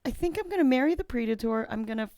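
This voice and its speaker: A woman's voice: sits high, lively intonation, fast, dark tone, no echo, studio quality. A woman guest on a casual podcast, playful and thinking aloud.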